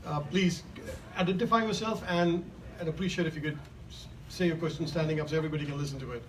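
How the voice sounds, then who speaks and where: Halting male host running a Q&A, somewhat high voice. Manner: hesitant, halting, many restarts. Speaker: event host and moderator. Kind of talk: moderating a press Q&A. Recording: distant mic with background noise.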